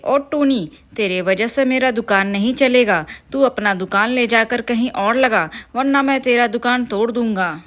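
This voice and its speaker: A woman voicing a male kite bird who runs a shop and rudely threatens a rival in a rough, menacing way. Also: a little high, normal speed, hardly any pauses, a bit bright, lightly acted. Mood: threatening.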